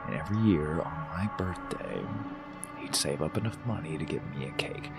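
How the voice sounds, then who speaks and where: An actor playing a brooding, wounded rebel killer in an audio drama, persuasive and menacing, intense and often dropping toward a whisper. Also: male voice, a bass voice, moderate pace, over loud music. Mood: grieving, bitter.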